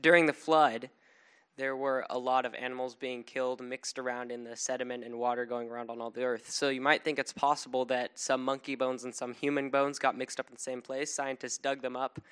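A man from the audience giving a steady, even explanation into a handheld mic. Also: medium pitch, fairly quick, smooth. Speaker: audience member.

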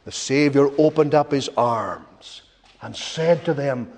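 A man preaching a sermon in an emphatic, rhetorical way, with repeated phrases and dramatic pauses. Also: mid-range voice, a little mellow. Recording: long reverberant hall echo.

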